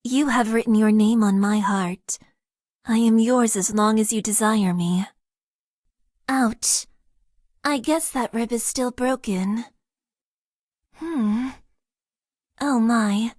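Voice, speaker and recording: A woman voicing a shy, formal young mecha pilot in a game, speaking softly in stiff, literal phrases. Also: fairly high pitch, slow, long pauses, no echo, studio quality. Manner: soft-spoken, stiff and literal. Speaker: voice actress. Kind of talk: game character voice lines.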